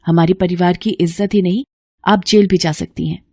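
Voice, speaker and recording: A woman's voice: on the low side, steady tone, normal speed, a little mellow, dry recording. A woman voicing a sly young daughter in a cartoon, coaxing and conspiratorial as she schemes. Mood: sly, persuasive. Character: sly young daughter plotting against her sister-in-law.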